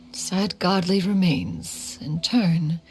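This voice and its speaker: An actress voicing a proud, aloof but caring female immortal in a video game, speaking in formal, archaic phrasing and calling herself one. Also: fairly low pitch. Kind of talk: scripted video game character dialogue.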